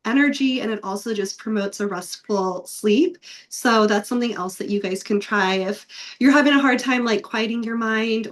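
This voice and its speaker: A woman in her thirties, raspy from a recent illness, chatting casually as a podcast co-host with many filler words. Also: mid-range voice. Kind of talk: casual chat.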